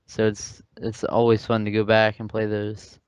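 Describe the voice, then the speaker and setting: A young man co-hosting a casual podcast chat, teasing and quick to contradict his friend. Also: lowish voice, completely flat delivery, slight background noise. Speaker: podcast co-host. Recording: dry, dull lo-fi sound.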